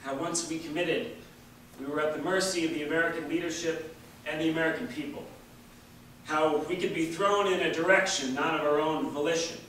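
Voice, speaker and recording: Guy reading an essay aloud in a big echoey hall, sharp forceful voice, kinda far from the mic. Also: on the high side, steady tone, brisk, a little hiss or hum. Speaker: reader at a staged public reading. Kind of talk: dramatic reading of a written political essay aloud. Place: large indoor hall at a public event.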